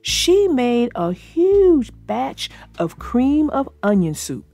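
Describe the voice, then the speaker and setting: A woman's voice: high-pitched, big pitch swings, slow pace, mellow, dry recording, faint music behind. A woman hosting a children's read-aloud, narrating a storybook and chatting casually about it.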